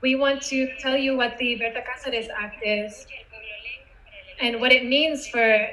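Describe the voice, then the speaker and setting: A woman reading a scripted voice-over for a video in a steady, explanatory way. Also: a little high, a little slow, poor audio. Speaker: video narrator.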